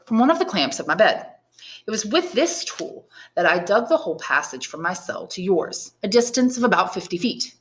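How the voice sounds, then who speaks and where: A woman's voice: medium pitch, big pitch swings, moderate pace, pitched above the performer's own voice, close to an everyday voice. An elderly, learned priest long held prisoner, acted out by a woman in a classic audiobook. The delivery is articulate and emphatic, full of rhetorical force, bitter and resigned. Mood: matter-of-fact. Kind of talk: dramatised audiobook reading of a classic novel.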